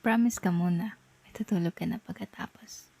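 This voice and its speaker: A young Filipino woman playing a tender, playful girlfriend in an intimate ASMR roleplay, murmuring softly and coaxingly close to the mic. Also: fairly low pitch, moderate pace, gravelly, slightly rough, no echo. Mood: tender, sleepy. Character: tender, playful girlfriend soothing partner to sleep. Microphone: close microphone.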